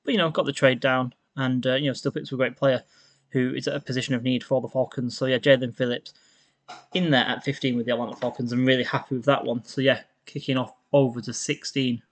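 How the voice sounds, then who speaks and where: A man's voice: mid-range voice, flat delivery, quick pace. A man from a team podcast, eagerly breaking down a draft pick in long, rambling runs. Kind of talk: enthusiastic sports analysis.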